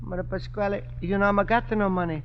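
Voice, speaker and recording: An old radio comedy recording, muffled and clearly noisy. A man plays a meek, earnest Italian immigrant with a very high male voice. He speaks plaintive, simple broken English with an Italian accent. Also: fairly even intonation, fairly quick, lightly acted. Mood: meek, pleading. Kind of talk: 1950s radio comedy acting.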